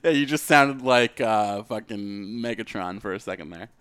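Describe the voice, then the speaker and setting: A man's voice: lowish voice, moderate pace, a bit bright, clear, resonant tone, no echo, studio quality. A man hosting a podcast in a loose, jokey, casual style, wrapping up with plugs and banter. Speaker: podcast host.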